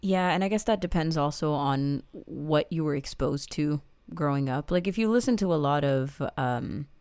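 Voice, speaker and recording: A woman with a notably deep, smooth voice, recorded close and dry. She co-hosts a review podcast, talking reflectively and analytically in casual discussion. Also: normal speed, darkish tone, no echo. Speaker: podcast co-host.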